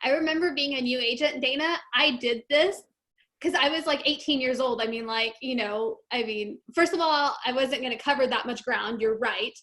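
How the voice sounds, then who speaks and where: A woman's voice: sits high, normal speed, fairly bright tone. A woman hosting an interview, chatty and enthusiastic, peppering her talk with "like". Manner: enthusiastic, chatty, says like a lot. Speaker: interview host. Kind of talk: casual interview.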